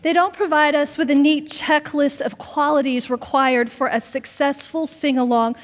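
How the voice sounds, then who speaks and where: A middle-aged woman pastor delivering a sermon from a pulpit in a church, with deliberate, expressive phrasing and dramatic pauses. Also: high-pitched. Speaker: pastor preaching. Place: large wood-panelled church sanctuary. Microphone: pulpit microphone. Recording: long church reverb.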